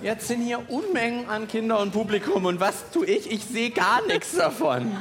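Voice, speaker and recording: A man's voice: very high voice, normal speed, a bit bright, slight background noise. A man co-hosting a children's live show with playful, exclamatory banter and mock complaints. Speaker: co-host and playful test subject of a children's podcast. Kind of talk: spontaneous comic banter on stage. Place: live stage at a podcast festival.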